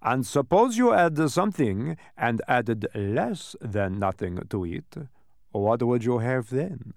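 A man's voice: medium pitch, sing-song, slow pace, gravelly, a little mellow, no echo, clean studio sound, heavily acted. A man voicing a cheerful, smug geometric creature that explains things eagerly in a storybook. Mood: eager, instructive. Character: cheerful, smug, many-faced dodecahedron creature.

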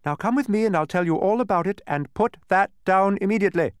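Man playing bossy storybook woman, high pitch, animated swoops, proud, impatient, imperious, dry sound. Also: normal speed, no echo. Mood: indignant, commanding. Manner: imperious, instructive. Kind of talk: storybook character voice.

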